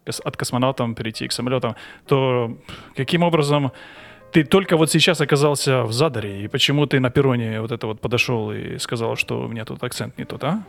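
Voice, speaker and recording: A man's voice: mid-range voice, fast talker, creaky, faint music behind. A man hosting a casual podcast interview, asking questions and teasing his co-host.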